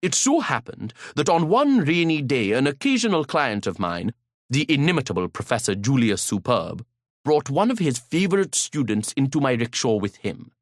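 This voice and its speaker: A man reads an audiobook in the first person with a pompous, grandiloquent air. His voice sits at an ordinary pitch but swings freely up and down, and now and then he trips into a stutter. The recording is close and dry. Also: big pitch swings, moderate pace, no echo. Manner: occasional stutter. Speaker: audiobook narrator.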